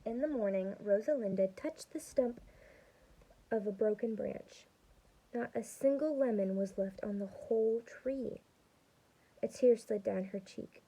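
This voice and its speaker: A young adult woman reading a storybook aloud to children as a student, expressive and pausing to explain things. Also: a little high, husky, low-quality recording. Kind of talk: storybook read-aloud with teaching asides.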